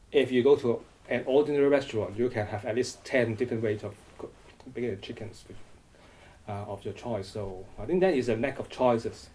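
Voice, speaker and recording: A man's voice: medium pitch, darkish tone, poor audio. A middle-aged man from Hong Kong, answering interview questions hesitantly, with many restarts and repeated words. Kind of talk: oral history interview.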